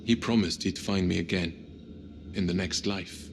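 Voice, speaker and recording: An actor playing a reflective, hopeful widowed space crewman in a cinematic game, speaking with restraint in a low gravelly voice. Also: fairly even intonation, moderate pace, noisy background. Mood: wistful, sincere. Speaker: video game character, spacecraft crew member.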